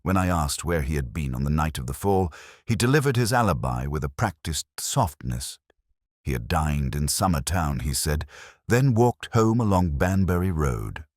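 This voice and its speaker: A man reading a scripted first-person detective story as its narrator, in a measured, reflective, literary manner. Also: very deep voice, no echo, studio quality.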